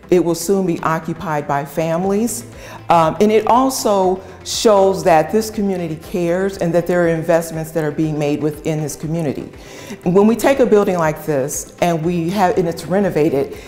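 A woman's voice: fairly low pitch, a little mellow. A middle-aged woman, a community development director, explaining a housing project in an earnest interview with a lapel mic. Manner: earnest, explanatory, cites figures. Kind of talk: documentary interview. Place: empty renovated house, indoors. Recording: long reverb of an empty room.

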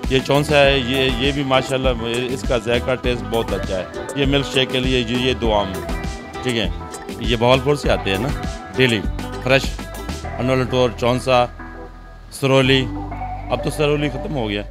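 A Pakistani man in his thirties talks in a mid-range voice, informally and haltingly, repeating himself as he goes. He holds a microphone in a shop, and music is clearly audible behind him. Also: medium pitch. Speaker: fruit seller. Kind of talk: informal on-camera interview reply. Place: fruit shop. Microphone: handheld microphone.

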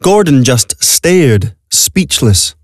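A man's voice: medium pitch, very animated, drawn-out words, dry recording. A man narrating a scripted story in a dramatic storytelling style, recorded in a studio.

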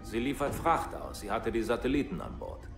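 An actor playing a grim imperial officer in a German video game, with a gravelly voice. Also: male voice, medium pitch, big pitch swings, fairly quick. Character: grim male imperial officer giving a report. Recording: echoey, loud music behind.

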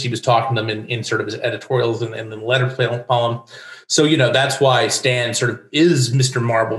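A middle-aged man gives conversational explanations with a halting, restarting delivery. His medium-pitched voice sits in a noticeably echoey room. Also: fairly bright tone. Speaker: guest, comics writer. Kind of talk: explanatory interview talk. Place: home room with bookshelves, indoors. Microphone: desk microphone on video call. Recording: echoey room over video call.

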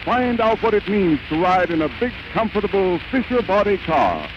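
A man's voice: very animated, normal speed. Old 1930s radio ad guy, formal and careful, muffled noisy recording. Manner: formal, carefully enunciated sales pitch. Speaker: radio announcer. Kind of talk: scripted 1930s radio advertising.

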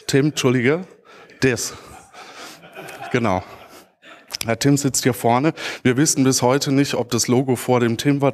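Man giving an informal conference talk. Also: medium pitch. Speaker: conference speaker, podcast creator. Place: large auditorium stage.

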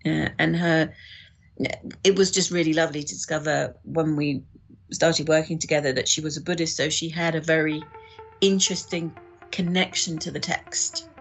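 A woman's voice: sits low, fairly even intonation, normal speed, vocal fry, soft background music. A woman chatting as a podcast guest, explaining things informally and a little hesitantly. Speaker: podcast guest, audiobook producer. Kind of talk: casual interview chat.

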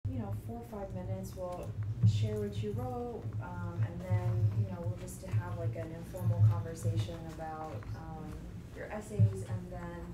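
A woman's voice: lowish voice, flat delivery, moderate pace, hardly any pauses. Encouraging woman facilitator, echoey room. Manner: encouraging, brief acknowledgments. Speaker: workshop facilitator. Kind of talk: guiding a group discussion. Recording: echoey room with background noise.

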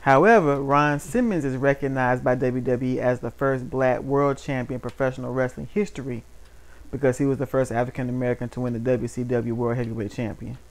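A man's voice: medium pitch, fairly even intonation, fairly quick. A man co-hosting a casual wrestling talk show, wry, stretching words out for emphasis. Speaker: podcast co-host. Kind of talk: casual chat about wrestling.

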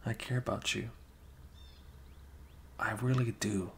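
A man playing a flustered, caring young male best friend with a crush, speaking haltingly in an improvised ASMR roleplay. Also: mid-range voice, steady tone, creaky, slight background noise. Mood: gentle, caring. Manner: halting, bashful, chuckling, casual bro talk.

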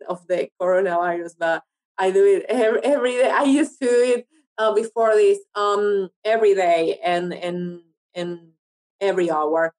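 A woman's voice: fairly low pitch, darkish tone. A woman from Argentina with an Argentine accent, a native Spanish speaker, talking casually as an interview guest and often searching for her words. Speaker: interview guest, actress and TV producer.

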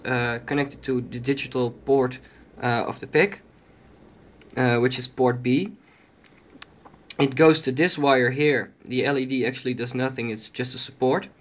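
A man giving an informal, hesitant walkthrough of a hobby electronics project, speaking from behind a handheld camera. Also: medium pitch. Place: indoors at a desk with electronics.

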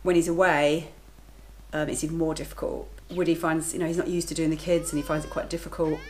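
A woman's voice: sits low, level tone, fast talker, darkish tone, low-quality recording. A woman in her thirties talking casually to the camera in her home kitchen, matter-of-fact and chatty. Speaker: vlogger, lifeguard and working mother.

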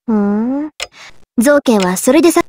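A woman's voice: on the high side, lively intonation, measured pace, a little mellow, slight background noise. An actress playing a proud, impatient teenage schoolgirl in an anime visual novel, speaking in a curt, no-nonsense way.

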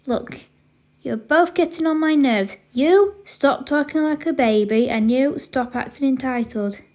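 A woman's voice: sits high, unhurried, darkish tone. A woman playing a bossy big sister in a children's skit, stern and exasperated as she scolds. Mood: exasperated scolding.

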